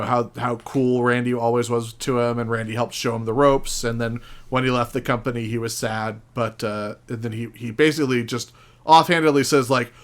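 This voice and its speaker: Man, mid-pitched voice, podcast guest, casual talk, animated storytelling, frequent swearing.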